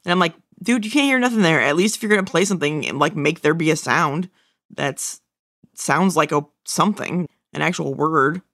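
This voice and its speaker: A woman's voice: low-pitched, moderate pace, fairly bright tone, no echo, studio quality. A woman co-hosting a casual review podcast in a blunt, informal way. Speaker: podcast co-host.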